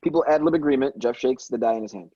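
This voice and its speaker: A man's voice: mid-range voice, quick pace, mellow, slightly rough, no echo, dull audio. A man reading screenplay action lines as narration at a table read. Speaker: stage-direction reader at a table read.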